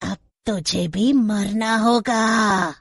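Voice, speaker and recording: A woman's voice: mid-range voice, gravelly, husky, no room sound, studio quality, heavily acted. A woman voicing a menacing, falsely sweet witch servant with a gloating, cackling manner in a horror story. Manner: cackling, drawn-out threats.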